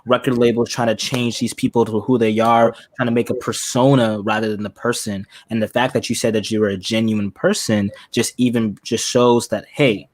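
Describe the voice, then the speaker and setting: A man's voice: on the low side, level tone, normal speed, few pauses, no room sound. A man hosting an interview show in casual, colloquial chat. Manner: colloquial, says "man" and "bro" often.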